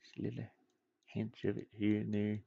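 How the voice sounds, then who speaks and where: A man's voice: fairly low pitch, completely flat delivery, moderate pace, creaky, warm tone, no echo, lo-fi sound. A man thinking aloud in short, offhand phrases as he casually demonstrates game art on his computer. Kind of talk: casual screen-recorded work-along, thinking aloud.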